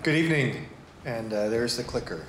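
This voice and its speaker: A man from the United States starting a conference talk on a handheld mic, sounding brisk and upbeat. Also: mid-range voice, animated, noisy background. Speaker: speaker, company vice president. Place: large event room with a stage. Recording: echoey room, faint crowd ambience.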